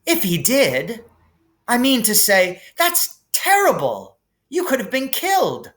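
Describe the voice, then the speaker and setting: A man's voice: very high voice, wildly swooping pitch, slow, a bit bright, voice pushed up, full character voice. A man voicing an anxious, emphatic schoolgirl witch with an earnest manner. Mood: indignant.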